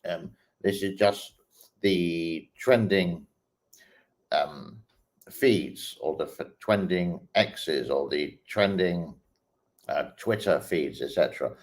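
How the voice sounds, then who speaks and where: Low slow guy scrolling feeds and reacting, lots of ums. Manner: slow, hesitant, many ums and restarts. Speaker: host reacting to online clips. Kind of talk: casual commentary while scrolling a feed.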